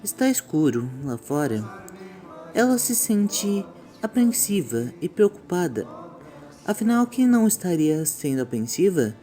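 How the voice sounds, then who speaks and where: A woman's voice: fairly low pitch, very animated, a little slow, mellow. A Brazilian woman hosting a scripted online commentary on horror animation, with a theatrical storytelling manner. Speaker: YouTube host.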